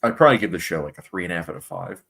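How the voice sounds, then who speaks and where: A man's voice: sits low, very fast, gravelly, fairly bright tone. A young man hosting a casual live stream, reading out viewer comments and chatting loosely with plenty of fillers. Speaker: movie channel host.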